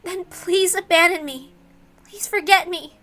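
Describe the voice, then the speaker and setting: Woman voicing a high-pitched, pleading young maiden. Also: a little slow, soft background music, heavily acted. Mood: pleading, sorrowful. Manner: soft-hearted, pleading. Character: gentle, self-effacing young woman pleading with her lover.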